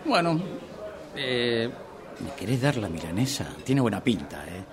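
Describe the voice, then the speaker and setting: A man's voice: mid-range voice, sing-song, a little slow, a crowd in the background. A man playing a hapless, wavering boyfriend in a radio comedy, speaking sheepishly and hesitantly with a Rioplatense accent. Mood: sheepish, hungry. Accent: Rioplatense Argentine. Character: hapless, hungry, wavering boyfriend afraid of his girlfriend. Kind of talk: radio drama comedy acting.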